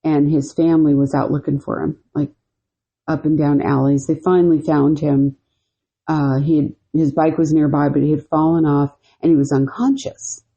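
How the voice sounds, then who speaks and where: A woman's voice: low voice, moderate pace, vocal fry, dark tone, slightly rough, dull audio. A woman co-hosting a podcast, telling a story casually, rambling with lots of "you know" and "like". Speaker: podcast co-host.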